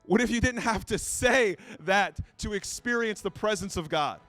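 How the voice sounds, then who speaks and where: High-voiced man preaching a casual sermon. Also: expressive, moderate pace, bright, forceful tone, dry recording, faint music behind. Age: 30s. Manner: conversational, self-deprecating, repeats phrases. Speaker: pastor.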